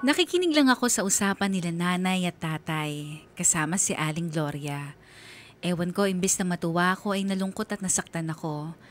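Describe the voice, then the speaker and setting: An actress playing a sad young daughter narrating in a confiding way for a Filipino radio drama. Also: female voice, on the low side, moderate pace, faint music behind, natural voice. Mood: sad, reflective. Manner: wistful, confiding. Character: sad young daughter narrating her family's hidden troubles.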